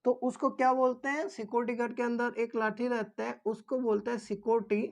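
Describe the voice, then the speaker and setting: A woman's voice: on the high side, flat delivery, fairly quick, vocal fry, a little mellow. A woman instructor giving an informal explanatory lesson, repeating key points and answering her own questions.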